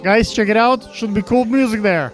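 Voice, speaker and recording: A man's voice: high voice, faint music behind. Stammering man interviewing at a rooftop event. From Ukraine. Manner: halting, repeats words, non-native phrasing. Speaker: interviewer at a fashion show. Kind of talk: casual street interview.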